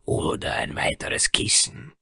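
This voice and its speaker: A man voicing a good-humored, mocking male dwarf with a raspy voice and easygoing manner in a fantasy story. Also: unhurried, bright, forceful tone, dry recording, clean studio sound. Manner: teasing, easygoing.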